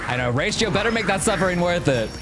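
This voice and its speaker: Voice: mid-range voice, expressive, fast. A game streamer giving casual live commentary with playful reactions and exclamations. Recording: game music behind the voice. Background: video game music and sounds.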